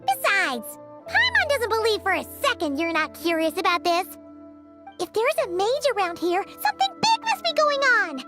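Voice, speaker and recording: A woman voicing a tiny, excitable, chatty childlike fairy companion in a video game, with a squeaky, exclamatory delivery. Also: normal speed, over music, heavily acted. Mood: persuasive. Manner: refers to herself in third person, exclamatory. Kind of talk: animated video game voice acting.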